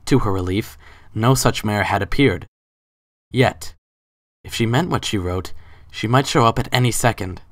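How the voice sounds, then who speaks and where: A man delivers dramatic, scripted story narration. His voice sits low, and the sound is dry with no room around it. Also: lively intonation, normal speed, pauses often, a bit bright, no room sound.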